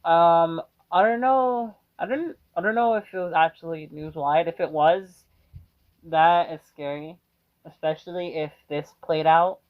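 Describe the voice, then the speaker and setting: A man's voice: on the high side, a little slow. A man casually rambling through his reaction with lots of hesitation and fillers. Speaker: reaction video host. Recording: dull lo-fi mic.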